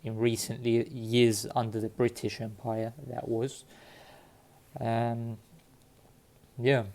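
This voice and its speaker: A man from Cyprus talking casually to his viewers, halting often with fillers and restarts. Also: mid-range voice, flat delivery, vocal fry, darkish tone. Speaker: vlogger sharing DNA results. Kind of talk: casual talk to camera. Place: London.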